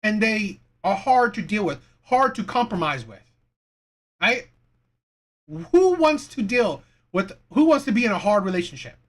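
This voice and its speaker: A man's voice: extremely high pitch, a bit bright. A man giving casual, opinionated commentary as a video host, in a rambling, repetitive way. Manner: rambling, repetitive, rhetorical questions. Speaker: video host reacting to dating clips.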